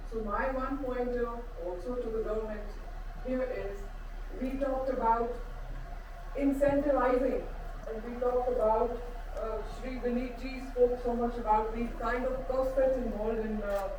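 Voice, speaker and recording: A woman's voice: fairly high pitch, steady tone, moderate pace. A woman from an airline, making an emphatic comment at a panel discussion. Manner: emphatic, repeats key words. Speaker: airline representative. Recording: roomy hall, distant mic, noise.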